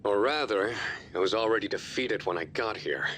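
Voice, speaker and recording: An actor playing a calm young man from a monster-fighting team in a video game. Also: fairly low pitch, moderate pace, a little hiss or hum, close to an everyday voice. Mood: calm, concerned. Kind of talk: English video game dub acting.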